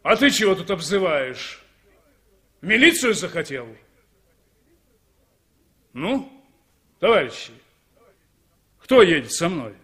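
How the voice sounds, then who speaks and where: A man's voice: sits high, big pitch swings, slow pace, long pauses, a bit bright, full character voice. A man playing a pushy, huffy motorist in a 1960s radio drama, insistent and easily affronted. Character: pushy, huffy male motorist offering lifts.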